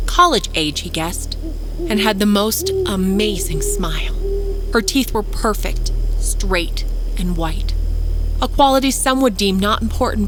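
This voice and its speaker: A woman reading a scripted dark suspense story as a podcast narrator. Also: mid-range voice, rough recording.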